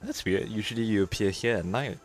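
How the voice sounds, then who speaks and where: A woman with an extremely low voice streams a game. She reacts casually and briefly in a laid-back way, with faint music in the background. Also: fairly quick.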